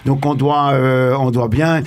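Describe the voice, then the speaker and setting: A man from Switzerland with a Swiss French accent, a singer chatting as a radio guest, halting and repeating himself. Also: medium pitch, steady tone, clean tone. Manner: halting, repeats words, many fillers. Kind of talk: casual chat. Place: radio studio.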